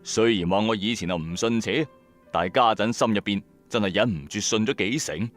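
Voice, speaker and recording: A man's voice: lowish voice, fairly quick, faint music behind. A man narrating a horror story in the first person, in a colloquial, suspenseful way.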